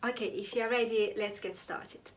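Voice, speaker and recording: A young woman from Azerbaijan, telling her own story to camera in a casual, playful vlog, sometimes breaking into high exclamations or mock whispers. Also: fairly even intonation, moderate pace. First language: Azeri. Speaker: vlogger.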